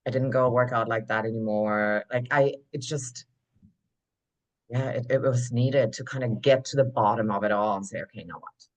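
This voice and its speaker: A woman, a podcast guest telling her story in an animated, emphatic way, full of "like" fillers. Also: very deep voice, normal speed. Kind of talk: conversational interview storytelling.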